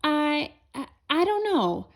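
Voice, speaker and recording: Woman reading as a humble, high-voiced cap peddler. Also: very animated, takes it slowly, heavily acted. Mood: hesitant, shy.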